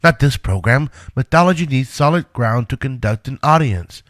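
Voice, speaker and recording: A man's voice: mid-range voice, lively intonation, moderate pace, a bit bright, dry recording, studio quality, lightly acted. A man playing a reluctant inventor in an audio drama, earnestly protesting for the work he is devoted to. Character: Einstein, a reluctant, indignant male inventor devoted to his work.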